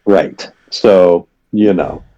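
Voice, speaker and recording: A man's voice: deep voice, very slow, dark tone. A man co-hosting a podcast, chatting casually and thoughtfully with many fillers. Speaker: podcast co-host. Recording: dull lo-fi sound.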